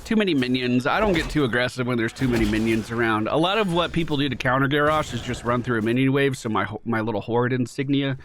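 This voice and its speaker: A man's voice: mid-range voice, fast, few pauses, a little hiss or hum. A young man with a slightly nasal voice from a cold, giving casual, swearing commentary over his own gameplay. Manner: casual, swears, excitable bursts when plays land. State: getting a cold. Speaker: gaming YouTuber.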